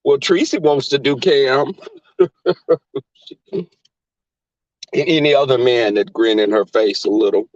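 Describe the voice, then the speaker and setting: A man with a Southern accent hosting a live stream in casual chat, crass and flamboyant, often leaping into high squeals. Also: slow pace, pauses often, a little mellow, no echo. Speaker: livestream host. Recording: dull lo-fi stream audio.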